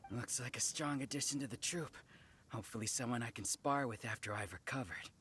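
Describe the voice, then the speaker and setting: A man playing a tough, cocky, combative soldier in an animated video game, blunt and teasing. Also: medium pitch, brisk, slight background noise, close to an everyday voice. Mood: approving.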